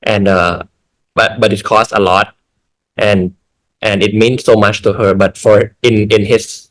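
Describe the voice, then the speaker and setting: A film director from Thailand speaking English with a Thai accent, measured and restarting often. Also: male voice, lowish voice, steady tone, rough recording. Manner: measured, with repeated words and restarts.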